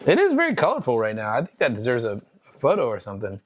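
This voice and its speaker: A woman's voice: a bass voice, wildly swooping pitch, very fast, slight background noise. A woman co-hosting a podcast, chatting casually and tipsily while she cooks in a home kitchen, rambling and making fun of herself with frequent laughs. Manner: rambling, self-deprecating, laughs often. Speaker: podcast co-host. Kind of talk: tipsy casual chat while cooking.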